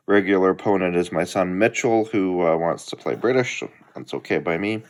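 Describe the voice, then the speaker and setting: A middle-aged man with a fairly low voice talks through an unboxing in a relaxed, chatty way. He hosts his own hobby channel and keeps an easy, unhurried pace from his home. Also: slight background noise. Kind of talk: casual unboxing chat.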